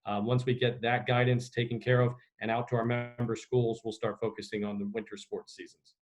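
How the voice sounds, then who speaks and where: Male sports administrator, medium pitch, formal and measured, fielding press questions on a video call. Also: little pitch movement, darkish tone. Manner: measured, formal, official phrasing. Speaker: executive director of a school sports association answering questions. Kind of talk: media conference call answers. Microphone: video conference call.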